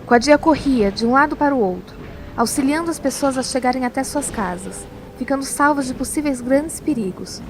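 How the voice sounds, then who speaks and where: Higher-voiced woman narrating a tale. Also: a little fast, background noise. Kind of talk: scripted storytelling narration for audio drama. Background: music.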